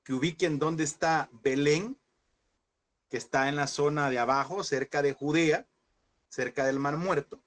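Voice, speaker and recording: A man teaches a Bible class with a slightly raised pitch. He asks questions, repeats them, and stretches out the important words so his students can follow. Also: a bit bright. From El Salvador. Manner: addresses listeners as brothers, asks and repeats questions; slows and stresses key words.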